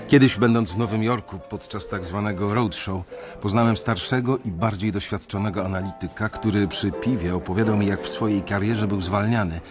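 A man narrating in the first person in a radio drama, measured and reflective. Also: low-pitched.

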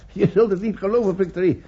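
An actor playing a carefree, boastful beggar in a radio drama, with a husky voice and folksy manner. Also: male voice, high-pitched, fairly even intonation, moderate pace, warm tone. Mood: gleeful. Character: gleeful, boastful male beggar, brazen and carefree. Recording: dull old radio recording.

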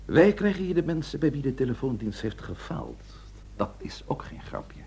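An actor in a Dutch radio drama playing a sceptical, wry police inspector who hums and probes with questions. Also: male voice, a little high, sing-song, normal speed, vocal fry, dark tone, slightly rough, heavily acted. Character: gruff, sceptical police inspector, career-minded former suitor.

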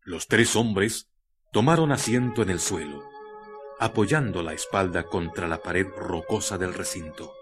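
A man narrating a scripted radio drama in a measured, literary storytelling manner. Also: low-pitched. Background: music.

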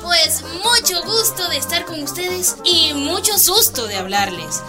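An actress playing a nervous but eager first-time radio announcer, informal and earnest, in a radio drama. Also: female voice, extremely high pitch, lively intonation, a little slow. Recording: music behind the voice.

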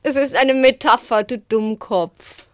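A woman's voice: fairly high pitch, measured pace, a little hiss or hum, close to an everyday voice. An actress playing a tender, teasing young woman with an intimate, playful manner in a radio drama. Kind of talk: German radio drama acting.

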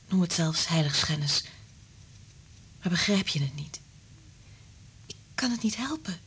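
An actress playing an anguished, bitter married woman in a radio drama, intense and confiding, with a breathy, husky voice that drops to whispers. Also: lowish voice. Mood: hushed, pleading.

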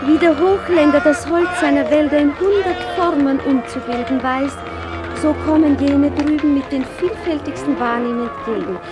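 Actress as a high-voiced eager young princess. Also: female voice, normal speed, music in the background, a crowd in the background, lightly acted. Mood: delighted, observant. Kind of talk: classic radio play acting.

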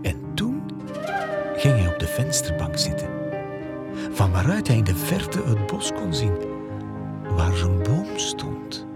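A man tells a scripted fairy tale in an expressive storytelling manner. His melody is lively and varied, and music is clearly heard behind the narration. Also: lowish voice, takes it slowly, pauses often.

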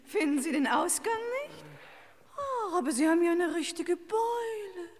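High, swooping aristocratic hostess. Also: female voice, expressive, normal speed. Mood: gushing, solicitous. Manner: effusive, coaxing, grand politeness. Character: gushing, over-solicitous aristocratic lady hostess, slyly insistent. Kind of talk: old German radio crime comedy acting.

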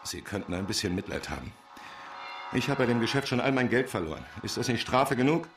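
An actor playing a naive, nervous, pious undertaker's helper in a German radio crime drama, anxious and pleading. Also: male voice, mid-range voice, big pitch swings, brisk, creaky, far from the mic, background noise.

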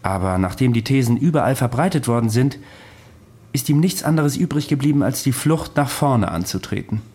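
Husky, wry immortal storyteller. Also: male voice, mid-range voice, lively intonation, fairly quick, darkish tone. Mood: wry recollection. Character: ancient immortal man recounting his past, wry. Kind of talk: radio drama acting.

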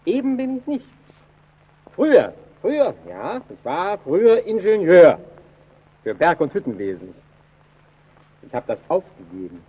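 An actor playing a timid, self-effacing lighthouse engineer in a German radio drama, hesitant, stammering and apologetic. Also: male voice, high voice, wildly swooping pitch, a little slow, pauses often, husky, heavily acted. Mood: flustered. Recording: dull old radio recording.

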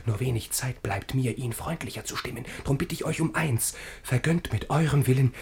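An actor playing an eager, naive young gentleman in love in a rhymed-verse radio comedy, with an effusive, confiding theatrical delivery. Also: medium pitch, moderate pace, husky. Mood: earnest, pleading. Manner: effusive, breathless storytelling, dropping to confiding whispers.

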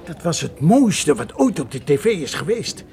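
An actor playing a folksy, excitable café proprietor in a radio play, garrulous and colloquial in his storytelling. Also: fairly high pitch, moderate pace, vocal fry. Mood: chatty. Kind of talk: radio drama acting.

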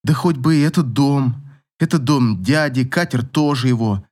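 Man voicing an insistent, challenging young mother, slightly raised pitch. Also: moderate pace, a little mellow, natural voice. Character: young single mother, insistent, questioning, sharp-witted. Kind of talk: audiobook romance dialogue.

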